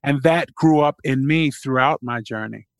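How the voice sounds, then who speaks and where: A man's voice: medium pitch, normal speed, no room sound. A middle-aged man from Queens, a college president telling his life story as a guest in a podcast interview, earnest and reflective, with fillers and restarts. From Queens, New York.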